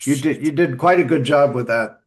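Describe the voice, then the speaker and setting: A man hosting a casual group podcast chat, talking in a halting way and often repeating his words. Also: mid-range voice. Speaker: podcast host.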